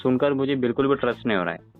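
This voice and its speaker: A man's voice: medium pitch, expressive, very fast, gravelly. A man speaking as a customer arguing on a phone call, skeptical and firm, often repeating himself. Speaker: borrower, customer on a recovery call. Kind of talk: phone argument. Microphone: telephone line. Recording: tinny phone call recording, faint music.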